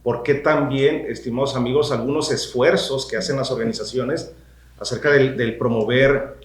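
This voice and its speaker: A man's voice: mid-range voice, steady tone, moderate pace, roomy sound. A man, a podcast co-host speaking formally to listeners, with hesitant restarts. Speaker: podcast co-host, university professor. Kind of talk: panel discussion on a podcast.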